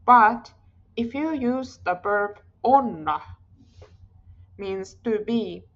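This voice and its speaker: A woman giving a language lesson at an extremely slow, deliberate pace, saying each word carefully and repeating it for drilling. Her recording sounds a little dull and lo-fi. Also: mid-range voice, vocal fry, a bit bright. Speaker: Finnish language teacher.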